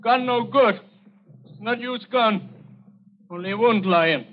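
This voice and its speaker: A man's voice: high voice, slow, slight background noise. An actor playing a fervent, commanding African tribesman pleading for his father, speaking clipped pidgin English in an old radio drama. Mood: forceful. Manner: broken pidgin English, clipped phrases, imperious. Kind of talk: 1940s radio acting. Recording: muffled vintage radio recording, music behind.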